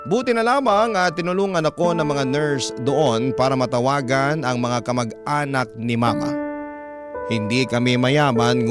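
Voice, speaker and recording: A man reading out a sad life story as scripted dramatic radio narration. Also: medium pitch. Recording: loud music under the voice.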